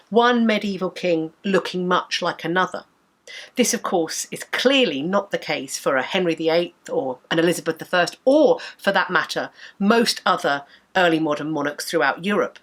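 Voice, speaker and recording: Woman, thirties, blocked nose, sore throat, lowish voice, articulate history lecturer, home study to camera. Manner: measured, articulate, enthusiastic explainer. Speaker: history educator and channel host. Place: home study with bookshelves, indoors.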